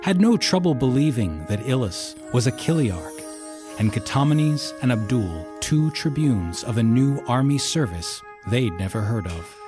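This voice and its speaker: A man's voice: mid-range voice, lively intonation, measured pace, natural voice. A man narrating a historical war tale for an audio drama in a grave, wry storytelling manner. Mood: measured, wry. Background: music under the narration.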